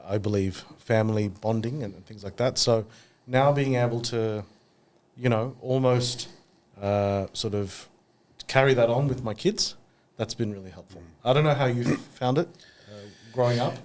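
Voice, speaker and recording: Australian man, thirties, podcast co-host, reflective, filler-heavy, mid-pitched voice. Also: moderate pace, a little mellow. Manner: reflective, many fillers and restarts. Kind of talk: casual podcast chat.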